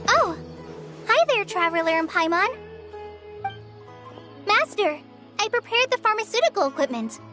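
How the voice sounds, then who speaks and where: An earnest young apprentice from a video game, sounds eager, respectful and a little unsure. The voice is extremely high and lively, rising and falling freely. Faint music plays behind. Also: female voice, moderate pace, pauses often, heavily acted.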